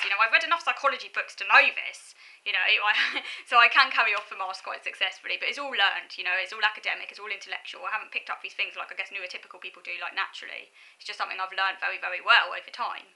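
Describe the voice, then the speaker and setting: A woman's voice: mid-range voice, bright, forceful tone, rough recording. A thirty-year-old woman with a southern English accent and a youthful-sounding voice, talking casually to camera in a hesitant, reflective, wandering way. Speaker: vlogger. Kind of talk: casual personal talk to camera. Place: small furnished room at home, indoors.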